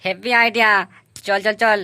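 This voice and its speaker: A woman's voice: medium pitch, normal speed. A woman voicing a cheeky, scheming young male student with a colloquial manner in a comic cartoon. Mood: excited. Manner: colloquial, brazen, excitable. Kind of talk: amateur comic cartoon voice acting. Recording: music behind the voice.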